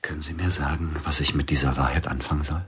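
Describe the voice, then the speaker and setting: An actor playing a shaken, grieving middle-aged pianist who speaks in a subdued, halting way. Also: male voice, very deep voice, steady tone, fairly bright tone, breathy edge, heavily acted. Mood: shaken grief.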